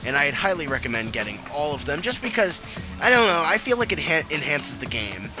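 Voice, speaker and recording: Man, nasal, a bit sick, casual gameplay commentary, rambling, loud game music behind. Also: on the high side, big pitch swings, fairly quick, poor audio. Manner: rambling with many fillers and restarts. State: under the weather. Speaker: let's play host.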